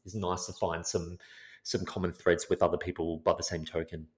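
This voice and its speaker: Deep-voiced podcast host. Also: male voice, level tone, moderate pace. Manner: rambling, friendly, swears casually. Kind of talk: casual interview hosting.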